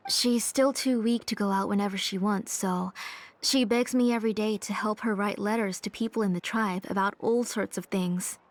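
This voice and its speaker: A woman playing a gentle, caring mother and craftswoman in a video game. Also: medium pitch, fairly even intonation, normal speed, close to an everyday voice. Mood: fond.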